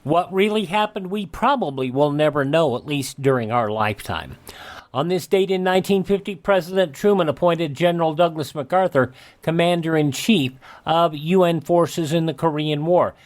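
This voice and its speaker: A man's voice: on the high side, normal speed. A man hosting a radio show, reading a scripted rundown of historical dates.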